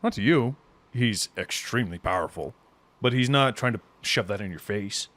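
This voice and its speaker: A man's voice: lowish voice, animated, normal speed, fairly bright tone. A man running a tabletop game as game master, narrating scenes in a casual, conversational, descriptive way.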